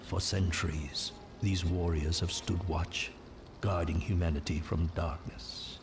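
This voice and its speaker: Game narrator: low male voice, solemn and portentous, telling dark ancient legends over clear background music. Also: steady tone, measured pace. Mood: grave, ominous. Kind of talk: dramatic scripted storytelling narration.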